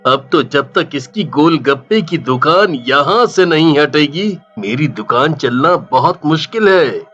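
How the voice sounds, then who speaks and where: Man playing sharp-voiced, grumbling jealous street vendor. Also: a little high, animated, measured pace, dull audio, soft background music. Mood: bitter grumbling. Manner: grumbling, scheming. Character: jealous, resentful male street-food vendor rival. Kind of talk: cartoon villain monologue.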